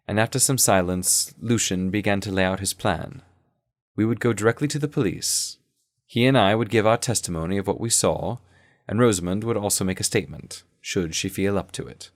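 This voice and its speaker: A man playing an earnest, shaken sleuth who narrates his adventure in a measured, literary way for an audio drama. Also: low voice, no room sound, studio quality. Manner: literary, measured, deliberate phrasing. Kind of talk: scripted first-person audio drama narration.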